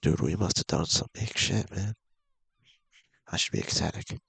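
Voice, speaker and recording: A man with a gravelly, husky voice casually reading a visual novel aloud, halting and mumbling with offhand asides. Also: deep voice, a bit bright, no echo. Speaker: video game streamer.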